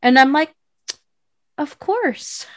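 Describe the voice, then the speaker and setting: A woman co-hosting a podcast in casual chat, bubbly and expressive, laughing often. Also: sits high, slow pace, dry recording, clean studio sound. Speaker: podcast co-host.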